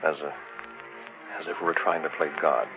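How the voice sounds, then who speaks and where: A very muffled old radio recording with music holds a deep-voiced gentleman. He is clipped and commanding, a wary skeptic in a horror drama. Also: fairly even intonation, normal speed. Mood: skeptical, uneasy.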